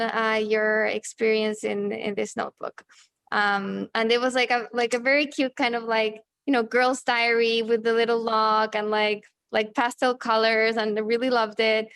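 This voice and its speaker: A woman in her thirties from Chile, a native Spanish speaker, talking casually as an interview guest, halting with many fillers and restarts. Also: on the high side, fairly bright tone, clean tone, no echo, studio quality. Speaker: guest, journalist and author.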